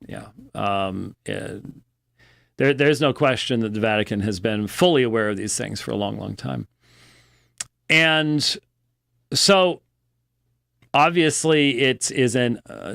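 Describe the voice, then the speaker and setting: Male host, unhurried and halting, critical religious commentary, close dry sound. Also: mid-range voice, creaky, a bit bright, dry recording. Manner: halting, deliberate, with pauses. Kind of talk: unscripted religious news commentary.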